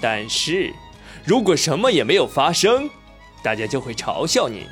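A man playing a polite but defiant young investigative journalist in a radio drama, measured and argumentative. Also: fairly high pitch, big pitch swings, fairly bright tone. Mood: polite, challenging. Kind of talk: Chinese radio drama acting. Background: music.

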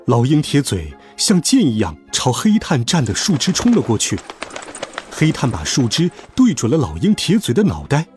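A man narrating a children's story in an expressive, scripted storytelling style. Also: medium pitch, moderate pace. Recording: music behind the voice. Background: music.

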